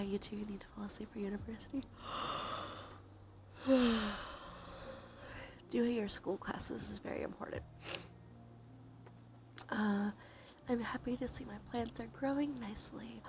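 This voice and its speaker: A woman with a stuffy nose doing a cozy, gentle ASMR stream, chatting casually in a soft, breathy voice that often drops into whispers. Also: a little high, background noise. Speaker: livestreamer hosting an ASMR stream. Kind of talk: cozy ASMR, casual chat while gaming. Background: soft ambient soundscape.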